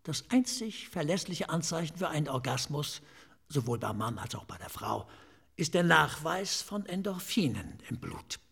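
An actor playing a talkative forensic pathologist in a radio drama, dryly clinical and slightly eccentric, explaining things in measured, savoring phrases. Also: male voice, fairly high pitch, very animated, a bit bright, heavily acted. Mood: lecturing, relishing. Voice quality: raspy, creaky. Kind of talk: German radio drama acting.

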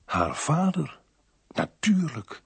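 A man acting a detective who narrates his investigation in a radio play, measured and dramatic. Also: on the high side, very animated, gravelly, husky, no room sound, full character voice. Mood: dramatic, reflective. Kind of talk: first-person dramatized storytelling.

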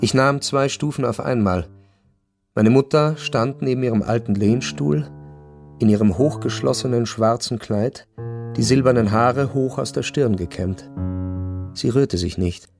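An actor playing a returned soldier son who narrates a radio play in measured, reflective, deliberate sentences. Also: male voice, medium pitch, gravelly, darkish tone, faint music behind. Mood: somber, reflective.

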